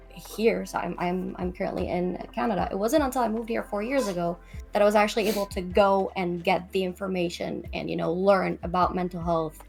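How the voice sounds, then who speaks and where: A woman's voice: medium pitch, gravelly. A young woman from Lebanon speaking as a guest in a conversational discussion, earnest and thoughtful. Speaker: guest, psychology PhD student. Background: background music.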